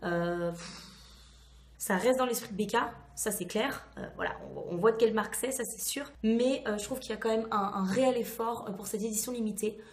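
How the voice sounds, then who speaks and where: A woman with a hoarse voice recovering voice loss, chatting enthusiastically as she reviews a beauty product to camera. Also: fairly high pitch, a little fast. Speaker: beauty vlogger. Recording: echoey, reverberant room.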